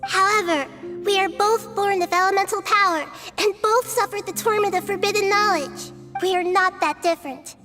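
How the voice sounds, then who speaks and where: Childlike nature goddess for a video game: very high pitch, wise, gentle, earnest and measured. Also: female voice, over music. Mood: earnest, diplomatic.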